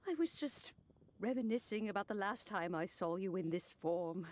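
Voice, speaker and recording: A woman voicing a gentle, elderly female immortal who speaks in a gracious, unhurried, courteous way. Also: medium pitch, close to an everyday voice. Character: gentle elderly female adeptus, kind hostess.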